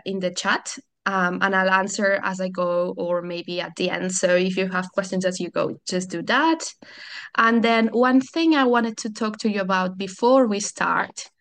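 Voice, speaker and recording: A Catalan woman from Barcelona on a video call, hosting a software tutorial webinar and explaining things in a friendly way with frequent ums and restarts. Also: mid-range voice. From Catalonia, Spain. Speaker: customer support webinar host.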